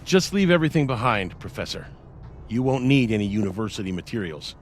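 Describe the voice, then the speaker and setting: A man's voice: on the low side, sing-song, fairly quick, slight background noise. A man playing a stern, dutiful campus security officer in a video game, speaking formally and firmly. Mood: firm, flat. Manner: formal, firm. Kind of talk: naturalistic video game voice acting.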